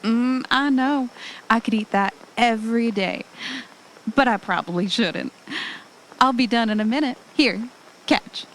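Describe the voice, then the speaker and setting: Woman acting a higher-voiced young wife, noisy recording. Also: a little slow, pauses often. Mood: relaxed. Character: young wife on vacation, affectionate, easily unnerved. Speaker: actor in an amateur radio drama. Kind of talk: naturalistic audio drama dialogue. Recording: noticeable background noise, amateur recording.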